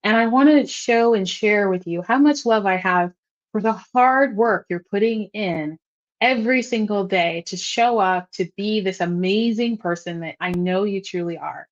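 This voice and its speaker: A woman's voice: medium pitch, normal speed, highs cut off. A woman hosting an online talk, speaking in an earnest, encouraging way with casual fillers. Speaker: host.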